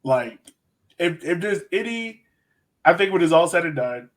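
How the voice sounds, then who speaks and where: A man with a slightly high voice hosts a casual soccer podcast. He talks in an informal, emphatic way, like he is chatting with friends. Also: lively intonation, measured pace. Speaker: sports podcast host. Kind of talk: casual chat, soccer analysis.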